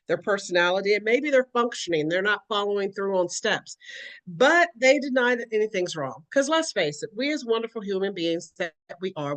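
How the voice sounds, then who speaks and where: A woman's voice: mid-range voice, fairly bright tone. Woman, educator, earnest explanatory talk, acts out examples.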